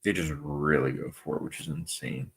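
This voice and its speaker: A man's voice: extremely low pitch, fairly even intonation, normal speed, creaky, fairly bright tone. A young man hosting a casual live stream, reading out viewer comments and chatting loosely with plenty of fillers. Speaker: movie channel host.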